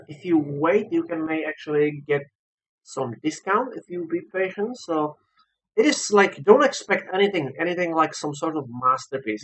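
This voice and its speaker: A man who is not a native English speaker gives a casual, unscripted game review, haltingly, often repeating and rephrasing himself. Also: a little high, fairly bright tone, poor audio.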